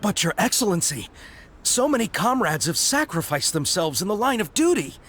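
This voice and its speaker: A man's voice: high-pitched, big pitch swings, normal speed, fairly bright tone, slight background noise. A man playing a fervent, earnest young soldier in a video game, deferential and pleading. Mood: desperate, fervent.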